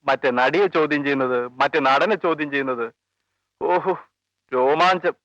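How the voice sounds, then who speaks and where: A man's voice: on the high side, moderate pace, a bit bright, dry recording, muffled recording, natural voice. A man playing a teasing, joking friend of a police officer in a 1980s film drama. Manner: teasing, playful.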